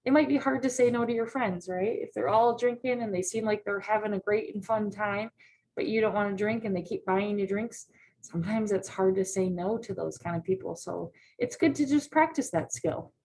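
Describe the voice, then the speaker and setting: A woman's voice: mid-range voice, moderate pace, a little mellow, poor audio. Patient woman teacher asking questions. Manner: patient, checks in with frequent "right?" questions. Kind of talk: classroom lesson read-aloud.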